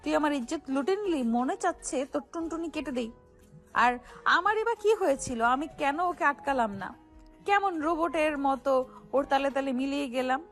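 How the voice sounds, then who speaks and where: High-pitched feisty young wife, acted by a woman. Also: normal speed, soft background music, lightly acted. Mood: indignant lament. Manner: scolding, exclamatory, slangy. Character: feisty, indignant young wife, sharp-tongued and dramatic. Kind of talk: audio romance story acting.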